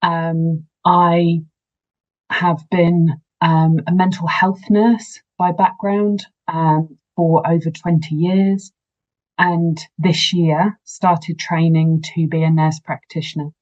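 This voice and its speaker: A woman, a nurse giving a measured conference talk over a video call. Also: on the low side, level tone, darkish tone, lo-fi sound. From New Zealand. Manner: measured, formal greeting in Māori. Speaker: nurse practitioner candidate. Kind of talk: conference presentation.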